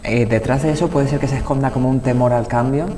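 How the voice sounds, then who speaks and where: A man's voice: mid-range voice, steady tone, fast talker, far from the mic, over music. A man with a Spain Spanish accent interviewing a guest in a conversational way, putting questions as a presenter. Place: TV programme set, indoors. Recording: reverberant hall-like room, faint background noise.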